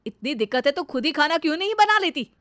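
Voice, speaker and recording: Casting: woman narrator playing indignant young husband, sharp, reproachful, raised high voice, family storybook drama. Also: big pitch swings, fast talker, no room sound, studio quality. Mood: angry scolding. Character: young husband defending his mother, stern and scolding.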